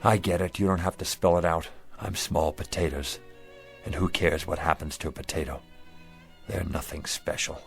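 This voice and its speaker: An actor playing a humble but wily down-on-his-luck street pitchman in a radio drama, talking in a folksy, earnest manner. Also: fairly quick, faint music behind. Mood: dejected, resigned. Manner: folksy, earnest, expressive talk.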